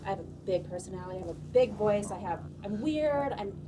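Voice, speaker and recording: A woman in the audience at a live event asking a question, halting and self-conscious, rambling with fillers. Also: medium pitch, animated. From California small town. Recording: distant mic, noisy hall.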